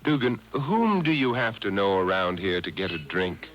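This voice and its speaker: An actor playing an urbane, wry gentleman detective in a 1950s radio drama, dry and teasing. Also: low-pitched, sing-song, normal speed, faint music behind. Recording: dull, hissy old radio tape.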